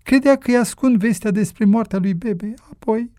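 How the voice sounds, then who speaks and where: A narrator voicing a worried, sorrowful elderly mother who laments softly and plaintively. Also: medium pitch, dry recording, clean studio sound, voice pushed up, natural voice. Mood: troubled.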